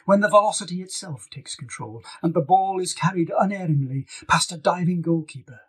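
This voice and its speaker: A man's voice: sits high, a little fast, slightly rough. A man reading scripted fiction as an author narrating an audiobook. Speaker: author reading own novel.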